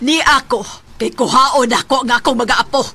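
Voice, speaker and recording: A woman's voice: sits high, moderate pace, gravelly, pushed and bright, breathy edge, exaggerated voice. A woman with a Cebuano accent playing a stern, scornful grandmother in a radio drama. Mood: angry, demanding.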